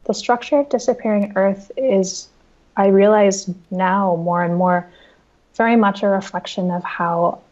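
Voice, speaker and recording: A woman's voice: medium pitch, fairly even intonation, unhurried, darkish tone, lo-fi sound. A woman in her thirties, an author answering reader questions from her home over a video call, thoughtful and often restarting her sentences. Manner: thoughtful, with frequent restarts and repeated words. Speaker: author guest. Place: home room with bookshelves. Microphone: video call with earbuds.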